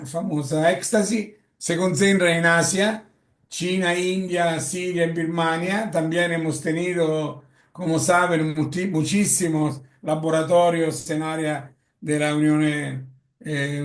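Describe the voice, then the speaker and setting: A man with an Italian accent gives a lecture in Spanish, often halting with fillers and correcting himself. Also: fairly high pitch. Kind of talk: lecture presentation. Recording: echoey room.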